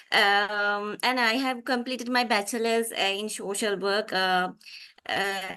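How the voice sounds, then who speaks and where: Bright-voiced Sri Lankan woman leading a workshop. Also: medium pitch, smooth. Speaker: psychological counselor leading a workshop. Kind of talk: informal webinar presentation. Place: online video call.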